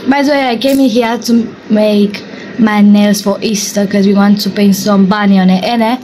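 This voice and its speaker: A woman's voice: mid-range voice, noisy background. A young woman vlogging in a casual, joking way, talking to a handheld phone in a small indoor salon. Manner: chatty, joking, repeats filler words. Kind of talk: casual vlog chat to camera. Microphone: phone held at arm's length.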